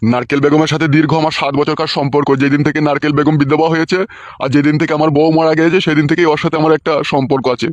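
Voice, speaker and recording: A man's voice: on the high side, level tone, racing, no room sound, dull audio, natural voice. A man playing a lovesick, melodramatic old village uncle in a comedy cartoon, pleading. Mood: pleading, tearful. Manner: wailing, pleading.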